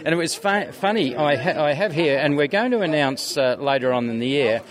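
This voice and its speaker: A man's voice: a little high, animated, fairly quick. Rambling Australian man amid crowd chatter. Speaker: interviewee, skeptics convention organiser. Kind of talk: casual chat. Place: busy convention venue.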